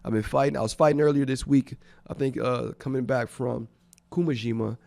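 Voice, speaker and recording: A congested man speaks with a gravelly, mellow voice close to a studio microphone, and the sound is dry with no room echo. He hosts a radio show in an eager, thankful, rambling way. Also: medium pitch, fairly even intonation, brisk. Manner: enthusiastic, grateful, rambling thank-yous. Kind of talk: casual radio shout-outs.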